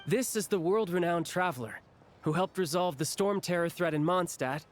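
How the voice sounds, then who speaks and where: A man's voice: sits high, moderate pace, natural voice. A man voicing an easygoing young warrior turned diplomat in an anime game, breezy, sincere and reassuring. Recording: music behind the voice.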